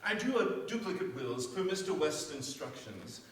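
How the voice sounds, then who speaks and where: A man's voice: fairly high pitch, big pitch swings, normal speed. A man playing a formal, dutiful family lawyer in a staged mystery radio play. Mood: alarmed. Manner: formal, proper. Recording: echoey stage from far off, faint noise.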